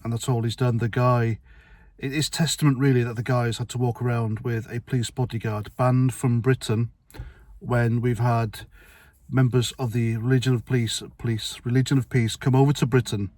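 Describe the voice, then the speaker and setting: Middle-aged male vlogger, mid-pitched, dry sound, rambling and sweary. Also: level tone, moderate pace, a little mellow, no room sound. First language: Welsh. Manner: blunt, rambling, sweary, with restarts. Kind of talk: casual opinion monologue to camera.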